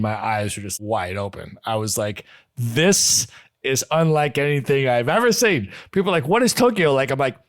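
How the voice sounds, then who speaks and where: A man hosting a conversational podcast, mid-pitched and eager. He talks with lively, animated enthusiasm. The recording is dry and close, with no room sound. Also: no room sound. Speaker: podcast host. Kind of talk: conversational interview.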